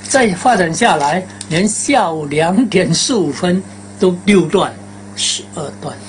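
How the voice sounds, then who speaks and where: An older woman, a veteran broadcaster, recalling the past haltingly in an interview. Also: fairly low pitch, animated, a little hiss or hum. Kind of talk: reminiscing in an interview.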